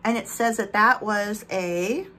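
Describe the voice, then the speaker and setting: A woman's voice: medium pitch, slow, pushed and bright, slight background noise. A woman in her thirties chatting casually in a home vlog, rambling while she unboxes products, with a slight lisp from aligners. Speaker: vlogger. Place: bedroom at home, indoors.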